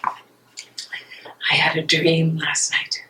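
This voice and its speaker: A woman's voice: low voice, completely flat delivery, extremely slow, bright, forceful tone, in a whisper, exaggerated voice. A woman playing an emotional young German fiancée of an imprisoned dissident in a dramatic stage reading. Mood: wistful, tender. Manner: emotional, accusing, swings to tender. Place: library meeting room, live reading. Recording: echoey room, noisy with clicks.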